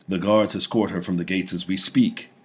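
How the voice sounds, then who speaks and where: A man voicing a mighty conqueror king in a fantasy story, grave, measured and commanding, with a cold reserve. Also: low-pitched, flat delivery, breathy edge.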